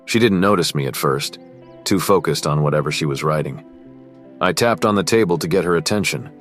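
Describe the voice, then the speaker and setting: A man playing a cocky rich high school boy who is quietly smitten, narrating and speaking in a teen romance drama. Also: a little fast, faint music behind. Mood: brooding, reflective. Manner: smug, cool, guarded. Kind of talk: first-person narration and dialogue in a teen romance.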